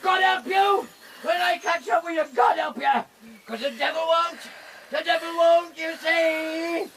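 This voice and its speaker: A man's voice: very high voice, fairly even intonation, measured pace, a bit bright, recorded from a distance, a little hiss or hum, heavily acted. An actor in a radio drama playing a brutal, drunken sea captain who bullies his family with taunts and threats. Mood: furious cursing. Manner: menacing, bellowing, taunting.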